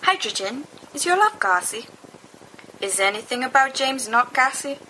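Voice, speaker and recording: A woman's voice: sits high, big pitch swings, moderate pace, bright, forceful tone, rough recording. A woman reading fiction aloud as an amateur, expressively and playfully acting out the dialogue of giddy young men. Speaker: amateur podfic reader. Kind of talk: animated dramatized fiction reading with character voices. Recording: home recording with faint background noise.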